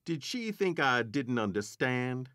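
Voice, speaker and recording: An actor playing a jealous, snobbish husband narrating an audio drama in a formal, measured way. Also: male voice, medium pitch, sing-song, bright, forceful tone, dry recording, studio quality. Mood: bitter. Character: jealous, snobbish husband recounting his wife's deceit.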